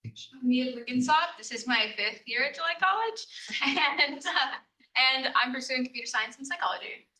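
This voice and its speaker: Young woman, bright and slightly high. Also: animated, normal speed. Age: young adult. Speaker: college student. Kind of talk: self-introduction. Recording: distant room microphone.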